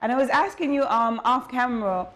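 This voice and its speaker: Woman host of a morning show, slightly high voice, easy interviewing style. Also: brisk. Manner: frequent encouraging backchannel. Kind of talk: casual interview chat.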